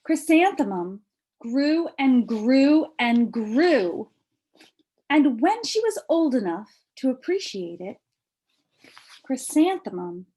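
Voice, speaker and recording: A woman reading a children's story aloud in an animated manner, drawing out key words for emphasis. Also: on the high side. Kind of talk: expressive children's read-aloud.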